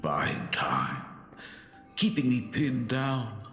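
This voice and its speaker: An actor playing a sly, menacing game villain posing as someone's father, with theatrical, taunting, drawn-out delivery. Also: medium pitch, big pitch swings, a bit bright, full character voice. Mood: gloating menace. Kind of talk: dramatic video game acting. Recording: echoey hall reverb. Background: music.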